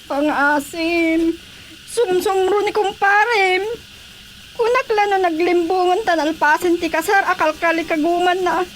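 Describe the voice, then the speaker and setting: A woman's voice: very high voice, a little slow, slight background noise. A woman with an Ilocano accent acting a pleading, chatty village mother in a radio drama comedy.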